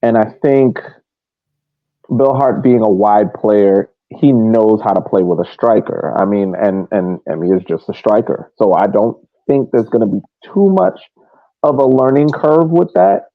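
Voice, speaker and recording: Guy with lowish voice talking sports analysis, measured, muffled phone line sound, very dry. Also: dry recording. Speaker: sports podcast co-host.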